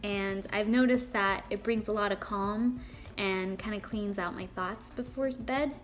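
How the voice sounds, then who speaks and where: Young woman calmly sharing a hobby. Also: mid-range voice, unhurried, soft background music. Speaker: viewer sharing a new hobby. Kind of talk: casual video message.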